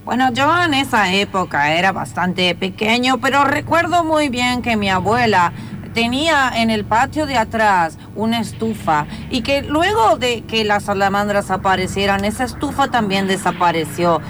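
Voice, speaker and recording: A woman's voice: fairly high pitch, expressive, moderate pace, close to an everyday voice. A woman playing an earnest country farmer who solemnly reminisces in improvised comedic radio theatre. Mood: solemn wonder. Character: earnest country farmer recalling childhood mysteries. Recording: clear music behind the voice.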